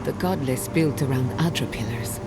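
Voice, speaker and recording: Low-voiced, wry scholarly woman. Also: normal speed, very noisy. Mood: eager, wry. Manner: articulate, wry, explanatory. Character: confident scholarly female guide, wry and adventurous. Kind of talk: scripted video game acting. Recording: reverberant hall under loud music.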